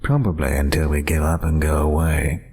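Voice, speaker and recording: A man voicing a stern, protective asylum doctor, measured and authoritative, in a motion comic. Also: very deep voice, flat delivery, gravelly, a little mellow, husky, faint music behind, full character voice. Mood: wry.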